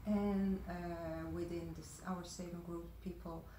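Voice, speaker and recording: A woman guest from the Netherlands answering interview questions in a measured way, with frequent hesitations. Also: lowish voice, little pitch movement, mellow, a little hiss or hum. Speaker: guest, foundation founder. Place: room at a wooden table. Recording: roomy room sound.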